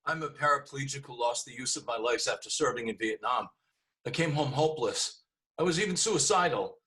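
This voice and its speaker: A man's voice: mid-range voice, fairly quick, sharp tone, natural voice. An actor playing an earnest male Vietnam veteran, reading out a confessional, hopeful letter in a table read. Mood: earnest, moved. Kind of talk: screenplay table read acting.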